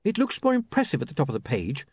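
Guy voicing a nervous shy girl, high, um-ing, pitch jumping around. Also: huge pitch swings, quick pace, slightly rough, dry recording. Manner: hesitant, with soft ums. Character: shy young woman, nervous and eager.

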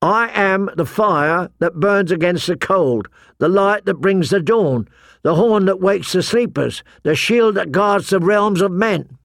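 A man's voice: sits high, unhurried, gravelly, fairly bright tone, no room sound, studio quality, voice pushed up, heavily acted. A man voicing a loyal, solemn teenage boy comrade in an audiobook, earnest in manner. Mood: reciting. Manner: solemn, reciting.

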